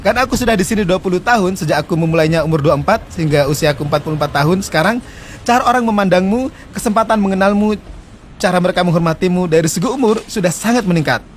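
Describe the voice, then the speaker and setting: A man in his forties talks quickly and earnestly as he explains customs in an interview. His voice sits high for a man and comes at a fast clip. Faint noise runs behind him. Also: lively intonation. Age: 40s. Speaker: interview guest. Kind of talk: explaining customs in casual conversation.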